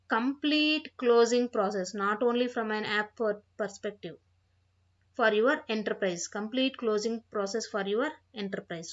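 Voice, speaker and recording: A woman giving an instructional software tutorial, reading out points and repeating phrases as she explains them, on a dull, lo-fi microphone. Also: fairly high pitch. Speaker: software trainer.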